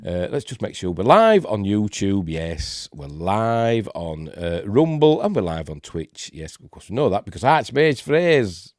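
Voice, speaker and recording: Yorkshire guy with a cold, snuffly and stuffed up, lowish voice, dry close sound, rambles with lots of ums. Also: very animated, a little slow, hardly any pauses, dry recording. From Yorkshire, England. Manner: rambling, hesitant, many fillers. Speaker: host. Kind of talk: casual live-stream film review.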